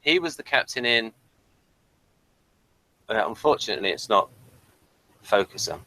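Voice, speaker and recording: A man co-hosting a casual fan discussion of a TV series, speaking in a hesitant, halting way and often repeating himself. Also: fairly low pitch, sing-song, sharp tone, slight background noise, rough recording. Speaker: podcast co-host reviewing a TV series.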